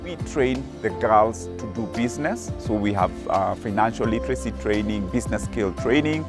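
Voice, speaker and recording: A man on staff at a charity, giving earnest, measured interview answers with some fillers. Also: fairly low pitch, lively intonation, over music. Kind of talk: interview soundbites.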